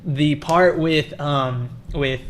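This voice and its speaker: Excitable man chatting, slightly high voice. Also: drawn-out words. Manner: excitable, stammering with repeated starts. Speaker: host of a film review discussion. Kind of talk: casual chat.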